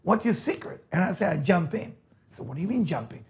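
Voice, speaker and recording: Higher-pitched Israeli man, motivational speaker. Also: very animated, fairly quick, gravelly. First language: Hebrew. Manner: emphatic, repeats key phrases, rhetorical questions. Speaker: rabbi, motivational speaker and teacher. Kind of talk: motivational lecture to an audience.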